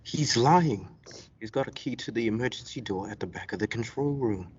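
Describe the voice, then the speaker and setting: Agitated male radio-play character, acted by a man, mid-pitched, urgent and emphatic, muffled recording with faint noise. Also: moderate pace, close to an everyday voice. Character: agitated, accusing radio actor rallying others.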